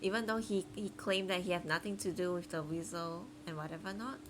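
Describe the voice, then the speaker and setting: A woman's voice: lowish voice, a little fast, background noise. A woman live streamer giving casual gameplay commentary, thinking aloud in a chatty way.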